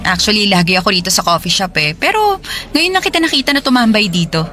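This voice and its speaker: An actress with a Filipino accent playing a friendly, sympathetic office worker in a conversational radio drama. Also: female voice, mid-range voice, animated, fast talker, sharp tone. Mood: friendly, casual. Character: friendly single office woman, sympathetic listener.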